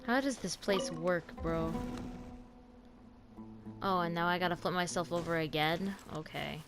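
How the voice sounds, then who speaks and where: A woman's voice: fairly low pitch, a little slow. A woman streamer giving casual, informal gameplay commentary, half muttering to herself as she plays. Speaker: streamer reacting to a game. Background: game music.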